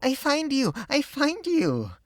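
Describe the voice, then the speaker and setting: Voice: mid-range voice, very animated, measured pace, vocal fry, fairly bright tone, no echo, clean studio sound, pitched above the performer's own voice, full character voice. A reader voicing a small eager girl from Chinatown who speaks in broken English. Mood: joyful. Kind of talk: storybook read-aloud.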